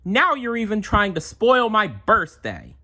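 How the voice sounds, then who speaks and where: A storybook little girl, put on by a man reading aloud, frustrated and fed up with her siblings. The voice sits high and swings widely in pitch, with unhurried delivery. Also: wildly swooping pitch, sharp tone, heavily acted. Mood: exasperated, whiny.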